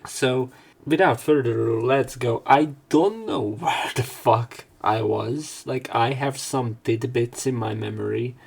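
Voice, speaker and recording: Young guy doing game commentary, creaky husky voice, kinda rambly. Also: medium pitch, a little slow. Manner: hesitant, rambling, sweary. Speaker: let's play host. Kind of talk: casual game commentary and read-aloud narration.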